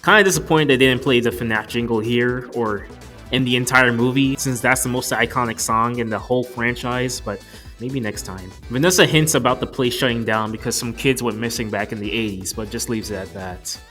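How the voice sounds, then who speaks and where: A man's voice: medium pitch, normal speed, bright, forceful tone. A young man giving a casual, scripted movie review commentary with a joking, casually profane manner. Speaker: video reviewer. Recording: music playing behind the voice. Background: background music.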